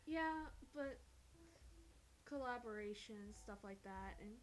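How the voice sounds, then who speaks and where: A woman's voice: medium pitch, animated, slow, a little hiss or hum. A woman co-hosting a livestream in casual chat. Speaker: livestream co-host.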